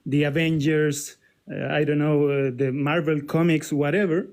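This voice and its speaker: Hesitant caller from Chile. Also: male voice, on the high side, flat delivery, normal speed, a little mellow. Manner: hesitant, many restarts and fillers.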